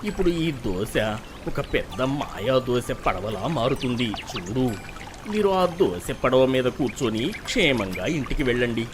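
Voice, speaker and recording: A narrator voicing a frail, gentle old beggar man in a slow, pleading way for a children's cartoon story. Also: mid-range voice, lively intonation, background noise, voice pushed down, close to an everyday voice. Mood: calm, reassuring. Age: older.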